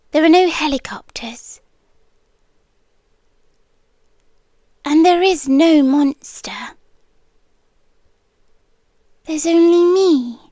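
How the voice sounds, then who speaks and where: A woman acts out a possessive sea spirit girlfriend with a high British voice. She is sweetly menacing and coaxing, often sinking into breathy whispers. The delivery is very unhurried, close and dry on the microphone, in the manner of ASMR roleplay. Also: no room sound. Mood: tender, menacing.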